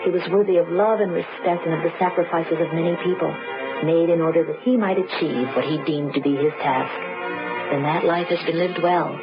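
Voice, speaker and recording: A man's voice: fairly high pitch, steady tone, fairly quick. A man reading a quotation aloud in a formal, scripted radio style. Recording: dull old radio, music behind.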